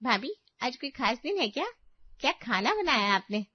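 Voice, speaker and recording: A woman playing a calm, supportive young sister-in-law in a family story, with an understanding, reassuring manner. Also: fairly high pitch, moderate pace, highs cut off. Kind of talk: acted dialogue in a Hindi family story.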